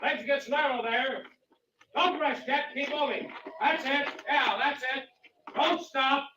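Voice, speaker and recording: A commanding male mountaineer, high and sharp-voiced, gives clipped orders. The old radio drama recording is echoey and distant. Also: slow pace, lo-fi sound, slight background noise, full character voice. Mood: brisk, tense. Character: commanding, steady male mountaineer coaching climbers on the rope.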